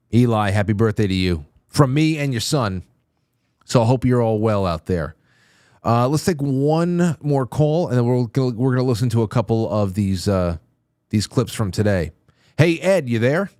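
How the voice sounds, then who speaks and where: Radio host guy, cuts in fast and gets worked up, dry close sound. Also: mid-range voice, no room sound. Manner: quick interjecting, excitable. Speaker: show host. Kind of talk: call-in talk show hosting.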